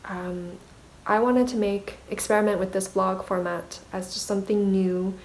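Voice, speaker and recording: A woman's voice: medium pitch, fairly even intonation, moderate pace, slight background noise, poor audio. A woman whose native language is Mandarin, speaking in a reflective, self-conscious and hesitant video diary as an artist vlogger.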